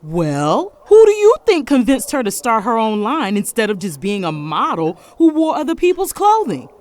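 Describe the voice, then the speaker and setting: Woman acting daddy's girl daughter, argues n dismisses, audio drama, super animated pitch. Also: normal speed. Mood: dismissive.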